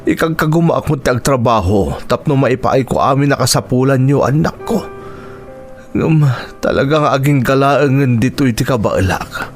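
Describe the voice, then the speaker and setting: A man's voice: mid-range voice, lively intonation, normal speed, natural voice. Guy playing a sad worn-out filipino dad in a radio drama, plaintive, music behind. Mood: aggrieved, sad. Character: sorrowful, weary poor father abandoned by his wife.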